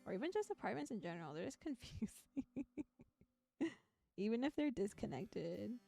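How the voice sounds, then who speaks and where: A young adult woman streaming in casual chat, with a quiet but clear voice, laughing often between her sentences. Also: medium pitch, very animated, normal speed, soft background music. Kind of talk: casual chat and reading a visual novel aloud.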